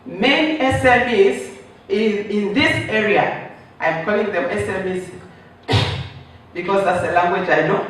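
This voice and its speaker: A hoarse man with a high voice gives a workshop talk, explaining his points step by step and answering his own rhetorical questions. He is heard from a distance over a microphone. The sound carries heavy echo from a large hall. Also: fairly bright tone. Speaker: workshop presenter. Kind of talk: conference presentation. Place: conference hall. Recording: roomy echo, voice from a distance, faint noise.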